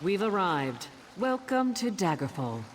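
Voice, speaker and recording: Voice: medium pitch, very animated, a little slow. Grudging female sea captain, fantasy game; swinging intonation, curt delivery, ambient noise behind. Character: resentful, gruff female ship captain.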